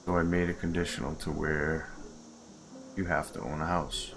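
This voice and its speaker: A man's voice: very deep voice, vocal fry. A man giving casual commentary while testing a game, muttering and thinking aloud. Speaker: mod creator.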